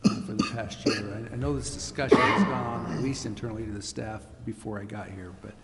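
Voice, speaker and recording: Gravelly man speaking haltingly in a hall. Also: fairly low pitch, steady tone, a loud crowd. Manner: halting, with many fillers. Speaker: city staff member. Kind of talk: answering questions at a council meeting. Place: city council chamber. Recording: reverberant hall, faint noise.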